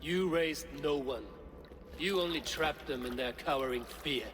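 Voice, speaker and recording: An actor playing a stern, self-assured Norse warrior in a video game, speaking in terse, blunt and formal lines. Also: male voice, a little high, measured pace, fairly bright tone, slight background noise. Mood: scornful. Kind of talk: dramatic game acting.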